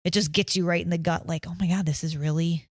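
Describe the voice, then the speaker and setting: Candid, chatty woman guest, slightly low voice, dry recording. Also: steady tone, quick pace, a little mellow, dry recording. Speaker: guest, band mom of a senior. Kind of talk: casual podcast chat.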